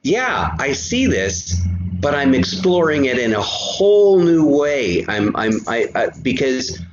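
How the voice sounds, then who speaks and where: A man speaking as a podcast guest in an animated casual discussion, emphatic and often halting to repeat a phrase. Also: medium pitch. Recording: roomy, dull sound with faint noise.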